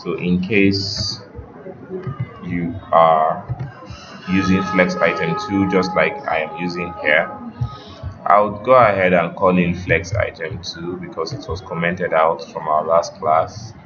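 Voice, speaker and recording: Slow, very deep-voiced male instructor. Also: flat delivery, muffled recording, music in the background. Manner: instructional, directing students, checking on them. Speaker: web development instructor. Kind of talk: step-by-step live coding lesson.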